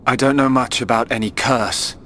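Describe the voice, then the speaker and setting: A man acting an earnest, emotional teenage boy hero in a video game, with a sincere, expressive delivery. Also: medium pitch, moderate pace, slight background noise, lightly acted. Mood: puzzled, sincere.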